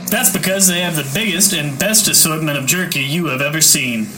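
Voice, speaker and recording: A man's voice: on the high side, fairly quick, background noise, close to an everyday voice. A man playing a friendly male trucker on a CB radio in a radio ad. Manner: folksy, helpful, trucker slang.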